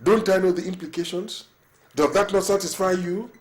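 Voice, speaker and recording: A man's voice: high-pitched, steady tone, moderate pace, close to an everyday voice. A man acting a husband who defends himself against accusations, indignant and defensive. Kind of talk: melodramatic film acting.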